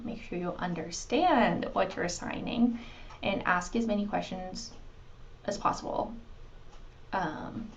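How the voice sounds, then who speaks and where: A woman presenting to new international students in a casual, conversational way, pausing with ums and restarting her sentences. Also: mid-range voice, gravelly, a little mellow, highs cut off, slight background noise.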